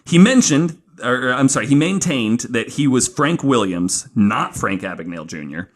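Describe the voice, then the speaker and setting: A man's voice: mid-range voice, lively intonation, moderate pace. A man hosting a podcast, telling a true-crime story casually and informally from notes, with some swearing. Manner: informal, profane, acting out quoted remarks.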